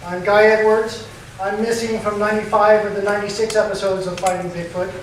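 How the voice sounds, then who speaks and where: A man's voice: high-pitched, level tone, fairly quick. A man hosting a live festival event, introducing the next speaker with a hesitant delivery full of fillers. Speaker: festival MC and host. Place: event venue before a crowd. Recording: echoey hall, distant mic, background noise.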